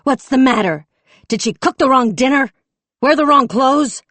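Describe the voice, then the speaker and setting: A fierce young policewoman, off duty and raw with anger, her voice climbing high and loud as she rails and shouts, voiced by a woman narrating an audiobook. The reading is close and dry, with no room sound. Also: expressive, moderate pace, no echo, lightly acted. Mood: furious shouting. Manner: shouting, furious, tearful.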